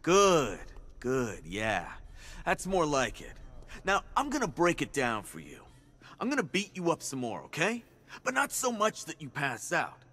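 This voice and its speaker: A sadistic militia thug in a video game, with a bright, forceful, fairly high male voice. He taunts and gloats menacingly, his pitch swinging freely. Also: wildly swooping pitch, unhurried, exaggerated voice. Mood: gloating menace.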